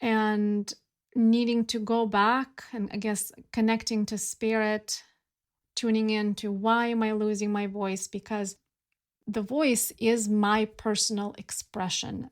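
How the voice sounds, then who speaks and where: A woman hosting a spiritual podcast, speaking in a gentle, contemplative monologue with soft pauses. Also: mid-range voice. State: recovering from losing her voice. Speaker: podcast host.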